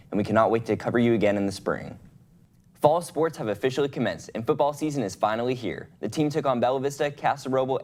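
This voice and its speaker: Young male student anchor, scripted sports news, even middle pitch. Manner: upbeat, reads from script.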